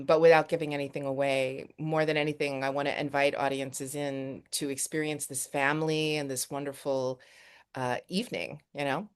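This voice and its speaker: A woman from Texas, a film director and actress, answering interview questions with quick restarts and repeated words. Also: low voice, smooth.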